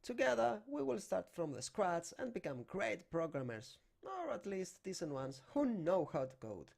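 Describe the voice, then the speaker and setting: Greek-accented man teaching, lively and gravelly. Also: sits high. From Greece. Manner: non-native English phrasing, talking through on-screen steps. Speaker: programming instructor. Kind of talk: screen-recorded coding tutorial. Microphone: desk microphone.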